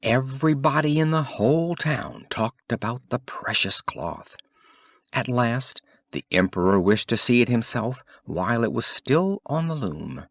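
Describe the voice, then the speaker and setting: A man narrates a fairy tale with theatrical expression. His mid-pitched voice swings widely up and down, and the sound is dry and close. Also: dry recording. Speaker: audiobook narrator.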